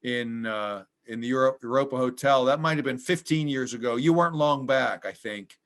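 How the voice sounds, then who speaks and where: American male host, friendly but halting, lots of hesitations, video call interview. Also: mid-range voice, fairly bright tone. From United States. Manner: halting, full of ums and restarts.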